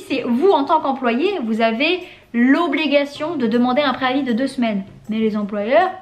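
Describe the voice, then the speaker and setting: Young woman from France, casual slangy vlogger with a highish voice. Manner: candid and chatty, with slang and frequent laughs. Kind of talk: casual confessional vlog.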